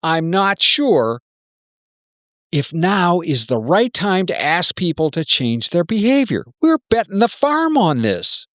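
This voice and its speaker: A man playing a stern, worried technology executive in a radio play, with measured, halting phrasing. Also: high voice, sing-song, a bit bright, no echo, studio quality, heavily acted. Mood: concerned, stern.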